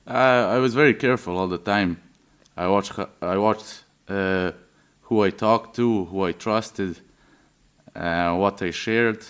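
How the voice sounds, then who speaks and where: Very low-voiced hesitant young man. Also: measured pace, clear, resonant tone. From Serbia. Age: 20s. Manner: hesitant, many fillers and restarts. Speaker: interviewee. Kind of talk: personal testimony.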